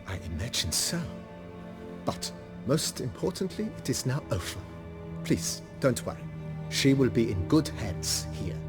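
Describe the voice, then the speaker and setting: An actor playing a courteous, cultured estate steward in a fantasy video game, formal and wordy, with theatrical emphasis and a husky voice. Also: male voice, medium pitch, sing-song, measured pace, in a large hall, music in the background. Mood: reassuring.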